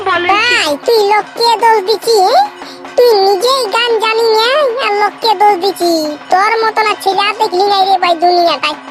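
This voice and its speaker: A performer voicing a lively, bossy and playful cartoon villager in comic Bengali with a Purulia accent. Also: very high-pitched, moderate pace. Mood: scolding. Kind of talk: comic regional cartoon dialogue. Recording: music under the voice. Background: music.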